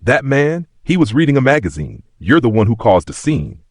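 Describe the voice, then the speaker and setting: A man voicing an angry, scolding airline supervisor in an audio story, clipped, forceful and accusing. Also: mid-range voice, sing-song, normal speed, dry recording, studio quality, pitched above the performer's own voice.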